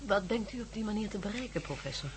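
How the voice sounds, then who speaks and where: A woman acting in a Dutch radio play as an earnest, inquisitive doctor with an expressive, questioning manner. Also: medium pitch, fast talker, natural voice. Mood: curious, questioning. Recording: noisy old radio drama recording.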